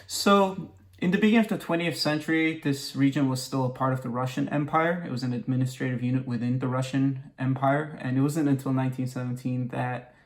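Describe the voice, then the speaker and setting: A man speaking as a history expert in an interview, explaining in measured, precise and formal wording. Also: mid-range voice, steady tone.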